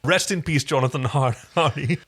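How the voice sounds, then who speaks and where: A man co-hosting a podcast, recapping a TV episode in an expressive, enthusiastic and casual way. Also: fairly high pitch, moderate pace, slightly rough. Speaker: podcast co-host.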